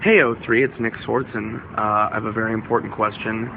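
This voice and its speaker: A man's voice: lowish voice, flat delivery, fairly quick, gravelly. Man on a tinny, noisy call. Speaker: video-call questioner. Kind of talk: comedic question.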